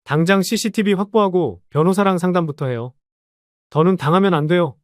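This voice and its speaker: A man's voice: sits high, fairly quick, dry recording, studio quality, close to an everyday voice. A man playing a resolute, protective adult son in an audio story drama.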